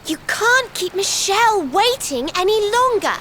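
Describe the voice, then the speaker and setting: A woman's voice: extremely high pitch, big pitch swings, a little slow, slight background noise, exaggerated voice. A woman voicing a bossy, impatient little girl in a video game, blunt and haughty. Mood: scolding. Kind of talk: scripted video game voice acting. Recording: loud game music behind the voice. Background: loud music.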